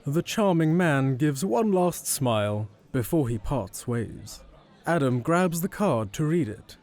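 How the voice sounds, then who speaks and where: A man's voice: on the high side, sing-song, slow pace, a little mellow. A man reading scripted third-person narration for an audio drama.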